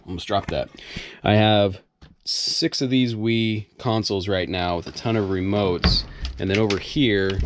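A man's voice: low voice, a little hiss or hum. A man in his thirties, chatting casually on camera inside his converted school bus about his projects. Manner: relaxed, chatty explaining. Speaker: vlogger, bus owner. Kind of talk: casual vlog narration.

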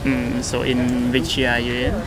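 A man's voice: mid-range voice, monotone, moderate pace. A young man from Laos, a native Lao speaker, hosts casual street interviews with eager "wow" and "mm-hmm" replies, recorded outdoors on a city street. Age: young adult. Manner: chatty, eager, frequent "wow" and "mm-hmm" backchannels. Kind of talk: casual English interview questions. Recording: outdoor street noise with traffic.

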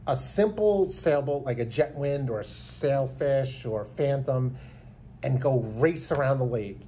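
A man's voice: mid-range voice, mellow, slight background noise. A man in his fifties giving an earnest, rambling tribute to camera from a small indoor room, filmed close on a phone. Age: 50s. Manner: earnest, rambling, many restarts. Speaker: vlogger. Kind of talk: heartfelt tribute talk to camera. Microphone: phone camera held close.

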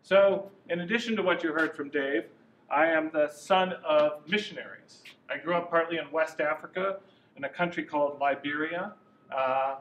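A man in his sixties speaking in a fairly high voice as he gives a conversational lecture. He is picked up from across an echoey classroom, with a faint hiss behind him. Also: fairly bright tone, distant mic, lo-fi sound. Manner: conversational, gestures while talking, some restarts. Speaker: guest speaker, lobbyist. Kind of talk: informal lecture to an audience. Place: university classroom. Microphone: far camera, no mic.

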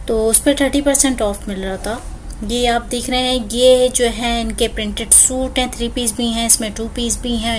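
A woman's voice: on the high side, low-quality recording. Lady vlogging in a clothes shop on her phone, chatty, a bit of store noise. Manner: chatty, lists prices and discounts. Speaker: shopping vlogger. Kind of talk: casual shopping walkthrough.

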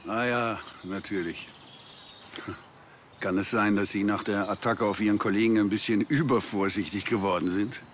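An actor playing a bitter, defiant beekeeper suspected in a TV crime drama, condescending and indignant. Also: male voice, lowish voice, fairly even intonation, normal speed, a little hiss or hum, natural voice. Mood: wary, condescending. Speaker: murder suspect.

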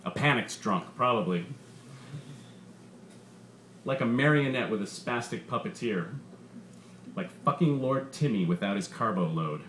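A man's voice: fairly low pitch, animated, a little slow, pauses often, a bit bright. A man voicing a bitter, sardonic robot stand-up comedian with a profane, punchy, menacing delivery. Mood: sly, menacing. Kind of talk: stand-up comedy routine in a short story reading. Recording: roomy bar with faint background noise.

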